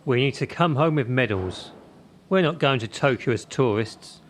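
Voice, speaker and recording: A man's voice: medium pitch, animated, normal speed, a little hiss or hum, close to an everyday voice. A man acting the part of a hard-driving, menacing gymnastics coach in a radio play, firing out emphatic short commands and rhetorical questions. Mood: fired up. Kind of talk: radio drama acting, motivational tirade.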